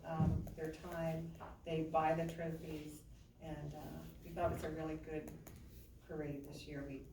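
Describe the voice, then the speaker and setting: A woman's voice: low voice, monotone, measured pace. A woman hosting a community meeting, casual and upbeat with many fillers. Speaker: meeting host. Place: community center meeting hall. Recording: echoey hall, far from the mic, background noise.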